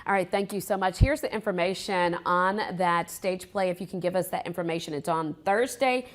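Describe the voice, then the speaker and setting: A woman's voice: on the low side, fairly quick. An American woman hosting a morning news show, conducting a broadcast interview.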